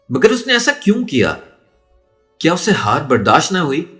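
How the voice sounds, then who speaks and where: A man's voice: medium pitch, fairly bright tone. A man narrating a story in a dramatic, emotive and suspenseful way. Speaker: audiobook narrator.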